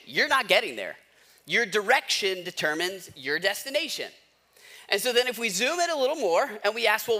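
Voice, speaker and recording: A man's voice: high-pitched, sharp tone. A man giving a conversational sermon as a pastor, asking rhetorical questions and repeating key phrases for emphasis.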